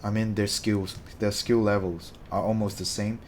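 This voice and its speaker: A man's voice: lowish voice, level tone, normal speed, a little hiss or hum. Chatty Malaysian-born man streaming casually. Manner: rambling, self-correcting, chatty. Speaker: streamer answering chat. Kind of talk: casual live-stream chat.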